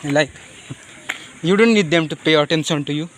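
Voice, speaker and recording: A man with a Nepali accent speaking off the cuff in a personal monologue, halting and rambling, often repeating his phrases. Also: fairly high pitch, slight background noise. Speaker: vlogger.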